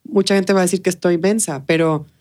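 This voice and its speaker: A woman with a Mexican Spanish accent talking casually as an interview guest, expressive and colloquial, often checking in with little tag questions. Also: fairly low pitch, fairly quick.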